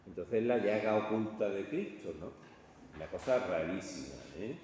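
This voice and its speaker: Man, Spanish accent from Spain, informal lecturer, halting conversational delivery, heavy room echo, dull sound. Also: on the low side, darkish tone. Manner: halting, conversational, often checking with listeners. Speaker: art history lecturer. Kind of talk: informal illustrated lecture to an audience. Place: large reverberant indoor room. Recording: echoey hall, distant muffled sound, faint noise.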